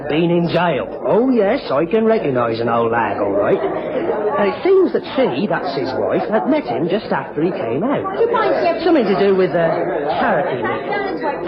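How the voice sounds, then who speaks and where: A man's voice: high voice, heavily acted. An actor playing a servile, nosy male lodger in a radio drama, ingratiating and rambling, with folksy ungrammatical speech. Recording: muffled radio recording, loud pub chatter behind.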